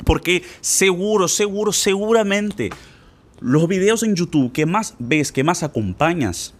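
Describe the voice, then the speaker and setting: Man, casual language teacher, very expressive pitch, slangy, pushy, talks straight to the listener. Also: unhurried. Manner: informal, insistent, slangy, addresses listener directly. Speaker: Spanish teacher for learners. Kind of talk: casual spoken lesson.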